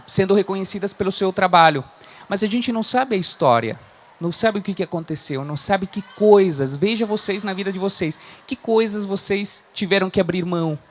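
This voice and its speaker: High-voiced Chilean man in his thirties. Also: a little hiss or hum. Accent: Chilean Spanish. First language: Spanish. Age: 30s. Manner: earnest, frequent "né?" tags. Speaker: coach and speaker. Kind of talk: motivational talk to a live audience.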